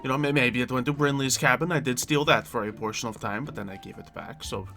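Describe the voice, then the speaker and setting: A man's voice: mid-range voice, fast, pushed and bright, soft background music. A man playing an eager, childlike prankster at a demigod camp in improvised Minecraft roleplay, chatting and rambling playfully.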